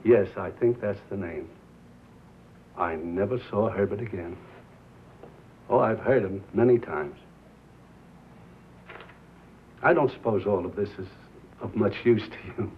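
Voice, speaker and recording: An actor playing a mild, earnest small-town station owner, halting and formal, as if confessing, in a 1950s film drama. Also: male voice, fairly low pitch, close to an everyday voice. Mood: resigned. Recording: muffled, hissy 1950s film soundtrack.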